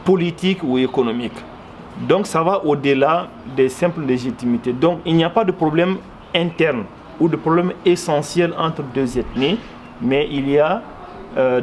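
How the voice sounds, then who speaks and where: Malian guy explaining stuff in an interview, voice a bit high, earnest and emphatic, some noise behind him. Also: darkish tone. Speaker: interviewee, commentator. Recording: clearly noisy background ambience.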